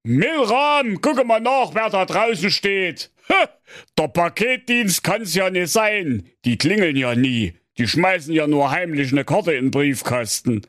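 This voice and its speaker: A man's voice: high-pitched, expressive, measured pace, fairly bright tone, no echo, clean studio sound, voice pushed up. A man doing a blustering storybook king. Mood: grumbling. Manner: blustering proclamations, crude outbursts. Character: pompous, blustering old fairy-tale king.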